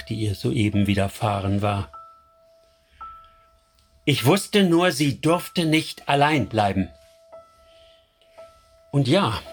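A man voicing a devoted young man in a radio drama, narrating in a tender, confessional way over soft music. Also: medium pitch. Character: young man secretly in love, anxious, devoted. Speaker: first-person narrator.

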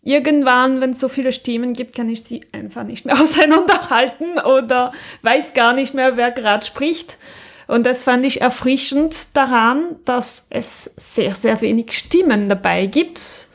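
A woman's voice: high-pitched, unhurried. A woman co-hosting a podcast, chatting casually and conversationally, with an easy laugh, as she gives recommendations. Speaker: podcast co-host. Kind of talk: casual chat, recommending audio dramas.

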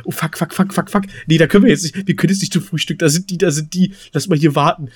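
A man chatting casually as a podcast co-host in a halting, hesitant, colloquial way. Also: fairly high pitch, fairly even intonation, husky. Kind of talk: casual chat.

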